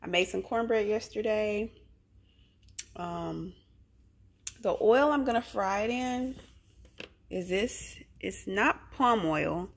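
A woman in her forties talks straight to her viewers as she does her chores and cooks, chatty and quick to laugh. Her voice has a gravelly, fry-tinged edge. It is picked up on a phone camera held at arm's length, in echoey bathroom and kitchen spaces. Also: mid-range voice, animated. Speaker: vlogger. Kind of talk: casual cook-with-me vlog. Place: home bathroom, then kitchen.

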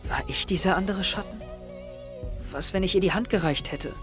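Anxious, introspective teenage schoolboy. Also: male voice, sits high, normal speed, music in the background. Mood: brooding. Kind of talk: audio drama dialogue and inner monologue.

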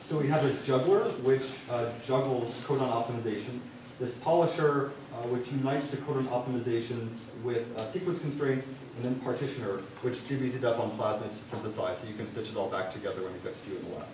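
A man's voice: mid-range voice, steady tone, quick pace. A young man giving an informal student science presentation, with casual, wry asides. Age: young adult. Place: university lecture hall. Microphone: far camera. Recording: distant room mic, very echoey, clear noise.

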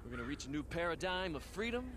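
A man's voice: on the high side, big pitch swings, fast, background noise. An actor playing a smarmy American businessman with a cultish streak, selling big promises in a video game. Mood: smooth, hyping. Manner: smarmy, salesman-like. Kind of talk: video game cutscene acting.

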